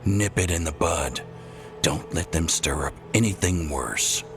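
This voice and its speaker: Gruff, very deep forest commander. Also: male voice, unhurried, music in the background. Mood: grim, commanding. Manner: gruff, terse. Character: gruff, stern forest scout leader, grown man. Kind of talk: fantasy video game acting.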